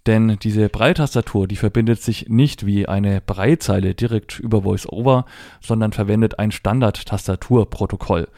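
A man's voice: on the low side, normal speed, dark tone, dry recording, clean studio sound. A middle-aged man giving a conversational, step-by-step tech tutorial, explaining and spelling things out as he demonstrates a device. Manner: spells out letters and dot numbers step by step. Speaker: presenter of a tech tutorial for Braille users.

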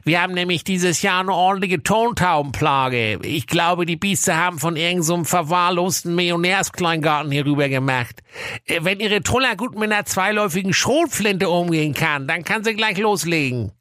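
A man's voice: high voice, normal speed, bright, forceful tone, dry recording, studio quality, pitched above the performer's own voice. A man voicing a fussy, bumbling personnel clerk in a comic fairy tale, hesitant and dismissive.

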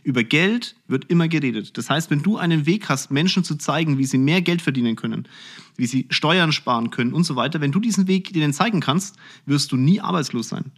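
A man's voice: fairly high pitch, expressive, brisk. A man answering interview questions on a podcast in a blunt, direct and colloquial way. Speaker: podcast host being interviewed, finance executive.

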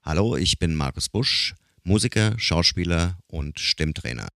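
A man's voice: low-pitched, animated, measured pace, bright, forceful tone. A man co-hosting a podcast as an actor and voice coach.

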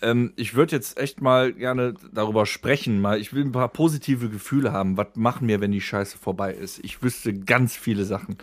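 A man's voice: lowish voice, normal speed, hardly any pauses, no echo. A man hosting a podcast, talking casually with friends in blunt, coarse slang. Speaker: podcast host. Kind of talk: casual banter among friends.

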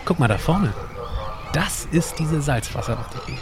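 A man's voice: a little high, expressive, moderate pace, natural voice. Man acting a curious time traveller, noisy background. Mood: relaxed, explaining. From Baltic coast, northern Germany. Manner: explanatory, conversational. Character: curious, chatty male time traveller on a field trip. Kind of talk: amateur educational audio drama dialogue.

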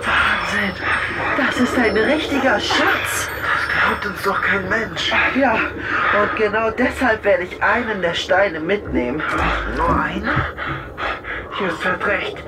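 High, eager boy detective. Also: takes it slowly, far from the mic, over loud music, poor audio. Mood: awed, earnest. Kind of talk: children's radio play acting. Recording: loud ambience, off the mic.

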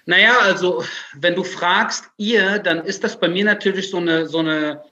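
A man from Germany in his forties speaks as an interview guest, explaining in a conversational way. His voice is notably high for a man, and the remote connection makes it sound dull and lo-fi. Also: fairly even intonation, moderate pace, pushed and bright. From Germany, Berlin. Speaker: interview guest, writer and military spokesman.

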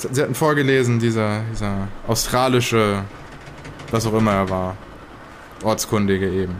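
Male survivor in an improvised horror audio drama, acted by a man: average pitch, sardonic and rattled, fragmented delivery, slightly noisy recording. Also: lightly acted.

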